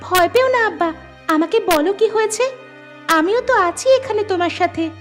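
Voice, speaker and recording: An affectionate cartoon daughter played by a woman chirps in an extremely high voice. She is sweet, eager and excitable, with faint music behind her. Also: normal speed. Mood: concerned. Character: affectionate, excitable grown daughter visiting her village father. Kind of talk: cartoon voice acting.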